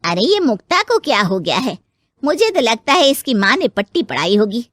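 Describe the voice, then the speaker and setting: A woman's voice: on the high side, very animated, moderate pace, fairly bright tone, no room sound. A woman playing an older aunt-in-law in a family story, gossipy, pushy and exclamatory. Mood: suspicious.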